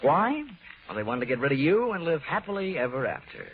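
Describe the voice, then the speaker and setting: An actor playing a wisecracking, tough American insurance investigator in a 1940s radio detective drama, speaking brisk and wry. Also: male voice, fairly high pitch, big pitch swings. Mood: accusing. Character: wisecracking hard-boiled insurance investigator man. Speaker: lead actor and narrator. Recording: tinny 1940s radio recording, music.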